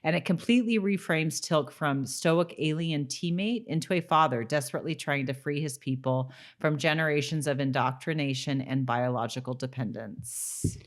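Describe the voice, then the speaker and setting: A woman's voice: sits low, moderate pace, hardly any pauses. A woman co-hosting a podcast, talking casually and informally about a TV show. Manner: informal, conversational. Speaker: podcast co-host. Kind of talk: casual chat about a TV show, with some read-out recaps.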